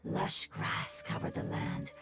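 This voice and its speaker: A woman's voice: slow pace, lightly acted. A woman voicing an ancient talking fungus creature in a video game, polite and solemn, speaking in a formal, scholarly way. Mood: solemn, sorrowful. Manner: formal, wordy, earnest. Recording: game audio with music behind. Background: game music.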